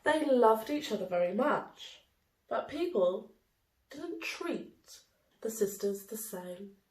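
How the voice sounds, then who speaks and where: A woman reading a children's picture book aloud in an expressive, deliberate storytelling manner. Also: a little high, a little hiss or hum. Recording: roomy, echoey indoor sound.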